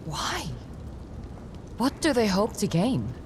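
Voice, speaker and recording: A woman's voice: medium pitch, big pitch swings, slow pace, noisy background. A woman playing a practical, dry-witted adventurer in a video game, blunt, focused and down-to-earth. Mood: questioning. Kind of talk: dramatic video game dialogue. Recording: prominent music behind.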